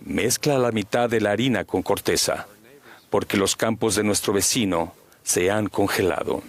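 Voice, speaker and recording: Brief: man voicing a grave, generous farmer, measured and low. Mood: solemn. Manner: solemn, measured.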